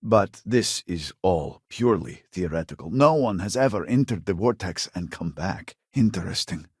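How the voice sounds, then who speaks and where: Husky, formal male game scientist. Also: deep voice, expressive, dry recording, studio quality, exaggerated voice. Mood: fascinated, musing. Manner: formal, measured, articulate. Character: earnest, exasperated male scientist in a devout sci-fi society. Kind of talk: video game character dialogue.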